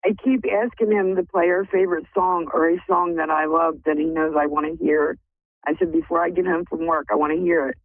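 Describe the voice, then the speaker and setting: Grateful, emotional woman caller with a gravelly voice, heard over a dry phone line. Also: lowish voice, steady tone, fairly quick, no room sound. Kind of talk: phone call-in.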